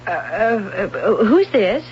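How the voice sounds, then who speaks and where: An American woman playing an anxious, hesitant suburban wife in an old-time radio drama, halting and nervous. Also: medium pitch, sing-song, slight background noise. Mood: alarmed. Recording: dull old radio broadcast, music behind.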